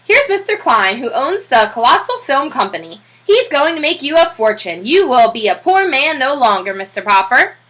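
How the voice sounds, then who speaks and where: Woman as a breezy, bright show-business agent. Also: sits high, very animated, normal speed, hardly any pauses.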